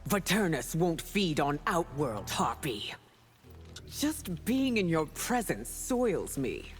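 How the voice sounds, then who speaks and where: An imperious video game empress of great age. Her low voice swells and falls dramatically, proud and cutting in every phrase. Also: sing-song, takes it slowly, faint music behind, full character voice. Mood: cold, scornful. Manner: regal, haughty, cutting. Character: proud, imperious empress, thousands of years old. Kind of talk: video game character dialogue.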